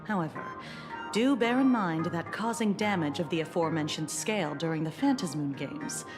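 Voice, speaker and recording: An actress playing a composed, stern female investigator in a video game, speaking in a formal, measured briefing manner. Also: on the low side, expressive, over music, close to an everyday voice. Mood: official, grave. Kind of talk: scripted video game dialogue.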